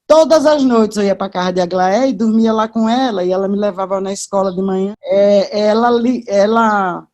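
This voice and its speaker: A woman's voice: medium pitch, moderate pace, few pauses, darkish tone. An older woman with a Northeastern Brazilian accent, reminiscing casually as an interview guest, chatty and full of asides and tag questions.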